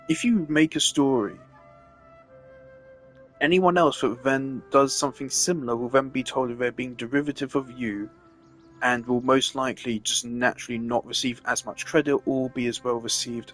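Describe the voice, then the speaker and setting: A man's voice: mid-range voice, fairly even intonation, normal speed, fairly bright tone. Male game streamer, mid-range voice, casual exasperated rant, emphatic delivery, faint music underneath.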